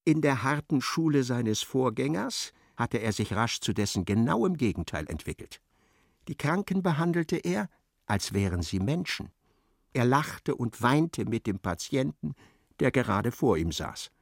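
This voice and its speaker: A man narrating a literary radio drama in a measured, articulate, storytelling way. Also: medium pitch, very animated, vocal fry, dry recording, clean studio sound, full character voice.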